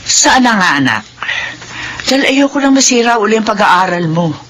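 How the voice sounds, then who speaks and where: A weary Filipino mother, bright and animated, classic radio drama. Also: fairly high pitch, normal speed. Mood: gentle lecturing. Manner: pleading, scolding. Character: weary devoted mother of three teens.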